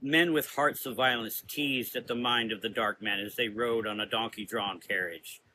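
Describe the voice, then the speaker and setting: Bright-voiced man narrating gothic fiction. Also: medium pitch, steady tone, normal speed, slight background noise, poor audio. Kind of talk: scripted gothic story narration.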